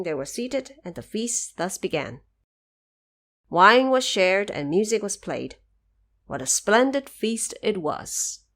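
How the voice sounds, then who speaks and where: Woman storyteller with a lively, swooping delivery. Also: mid-range voice. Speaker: narrator. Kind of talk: scripted audio drama storytelling narration.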